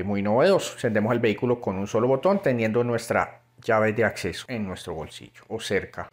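A man giving a chatty, enthusiastic walkaround review of his own car, recorded on a handheld phone around a parked car. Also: on the low side. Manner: chatty, enthusiastic, repeats words for emphasis.

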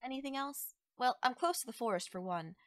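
A woman acts out a playful young forest witch for an ASMR roleplay. Her pitch swings expressively as she teases, coaxes and reassures the listener. The sound is close and dry. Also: lively intonation, a bit bright, dry recording. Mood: breezy, confiding. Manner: coy, soothing, conversational with drawn-out words. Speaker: roleplay voice actor.

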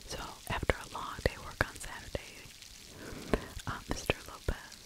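A woman's voice: moderate pace, poor audio. Whispering middle-aged woman, ASMR storyteller. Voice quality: breathy whisper. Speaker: ASMR true crime storyteller. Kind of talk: whispered ASMR narration of a true crime case. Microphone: close-up desktop microphone with fluffy windscreen.

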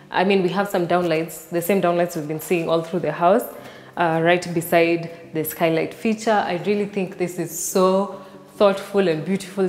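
A woman's voice: fairly low pitch, darkish tone. A woman from Kenya hosting a walking house tour, chatty and enthusiastic as she points out features to viewers. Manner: enthusiastic, chatty, addresses viewers as you guys. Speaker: real estate tour host. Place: large empty house, indoors. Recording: echoey empty rooms with long reverb.

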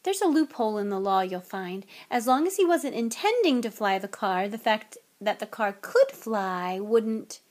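A woman's voice: mid-range voice, big pitch swings, moderate pace, few pauses, lightly acted. A woman voicing a mild, tired middle-aged father in a storybook, with a hesitant, mumbling manner. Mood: sheepish, hesitant. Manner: hesitant, stammering, sighing, mumbling.

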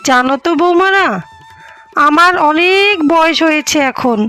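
A woman's voice: very high-pitched, a little slow, pushed and bright, heavily acted. A woman doing a doting elderly mother-in-law. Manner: fond, doting. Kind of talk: cartoon voice acting.

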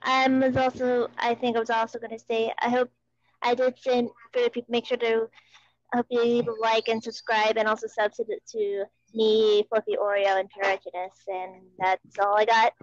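Dull-sounding, even-toned woman on a stream. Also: on the high side, moderate pace, no echo, faint music behind. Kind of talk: casual stream chat.

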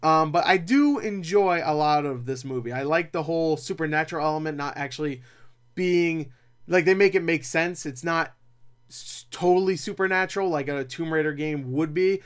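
A young man reviews movies in a voice pitched a little above average. He talks casually and without a script, rambling along with animated asides and a self-deprecating streak. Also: normal speed, smooth. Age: young adult. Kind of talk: casual unscripted review chat. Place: small cluttered room at home, indoors.

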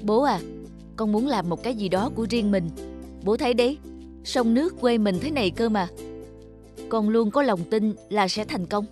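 A man's voice: high-pitched, moderate pace, pauses often, darkish tone, faint music behind, lightly acted. Man voicing a determined young cartoon hero. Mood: earnest. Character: determined, optimistic young man returning to the countryside. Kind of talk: cartoon dubbing.

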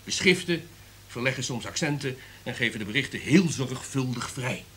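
An actor playing an imperious, irritable top executive in a radio drama, lecturing in a domineering, emphatic way. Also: male voice, mid-range voice, expressive, moderate pace, bright, forceful tone, a little hiss or hum. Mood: lecturing, commanding.

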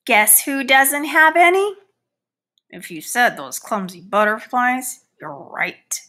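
A woman voicing a boastful, theatrical male housefly, a proud father who scorns butterflies, in an animated children's picture book read-aloud. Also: a little high, takes it slowly, exaggerated voice.

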